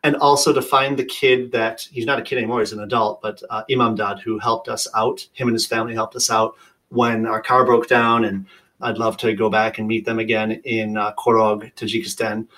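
A man's voice: lowish voice, a little fast, rough recording. A man talking as a podcast guest, telling stories casually and filling his speech with "you know" and "like". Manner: lively storyteller, lots of "you know" and "like". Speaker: guest, long-distance traveler. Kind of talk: casual conversation.

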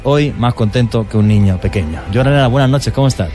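A man hosting a radio talk show about mysteries, talking with animated enthusiasm. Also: medium pitch, fast talker, faint music behind. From born outside Colombia.

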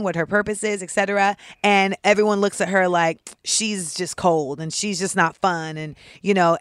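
Candid woman host, smooth and sarcastic. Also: fairly bright tone, no echo, clean studio sound. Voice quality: deep voice. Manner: candid, sarcastic, says "like" often. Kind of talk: casual commentary.

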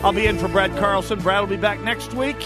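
A man's voice: high voice, fairly even intonation, brisk, over loud music. A man hosting a talk radio show, speaking emphatically and wryly, with frequent restarts. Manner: emphatic, wry, restarts often. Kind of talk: talk radio monologue and calls.

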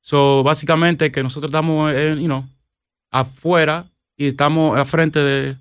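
A man, a police officer from the Dominican Republic, speaking earnestly and haltingly, with restarts and some English fillers. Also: a little high, flat delivery, clear, resonant tone, no room sound, clean studio sound.

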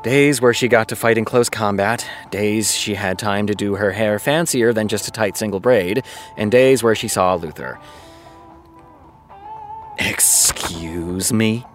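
A man's voice: lowish voice, measured pace, soft background music, close to an everyday voice. A man acting in a fiction podcast as an exasperated, sarcastic TV creator who reads fan fiction aloud. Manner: sardonic, muttering asides between read passages.

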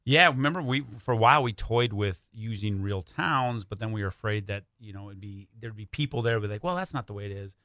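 Lowish, bright-edged man, casual studio guest. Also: brisk, hardly any pauses, no echo. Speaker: interview guest, radio play writer. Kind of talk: casual conversational storytelling. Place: radio studio. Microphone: close studio microphone.

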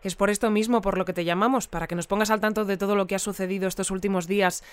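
An actress plays a composed female radio newsreader with an accent from Spain. Her voice is very clear and smooth, recorded dry. She reads and interviews in a formal, orderly way, as in a scripted audio drama bulletin. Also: mid-range voice, fairly even intonation, racing, no echo, close to an everyday voice. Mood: attentive, businesslike. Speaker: news bulletin presenter.

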